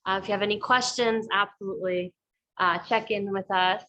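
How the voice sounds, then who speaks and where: A woman's voice: mid-range voice, steady tone, brisk, pushed and bright, low-quality recording. Woman hosting an online cooking class. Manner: friendly, many ums and restarts. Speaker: class host for a food co-op.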